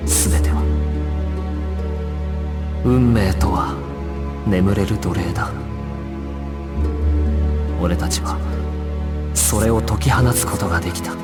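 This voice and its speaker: An actor playing a resolute, stoic young gangster squad leader in a Japanese action video game, speaking with solemn, commanding authority. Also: male voice, sits low, lively intonation, slow, pauses often. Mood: calm, resigned. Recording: music behind the voice.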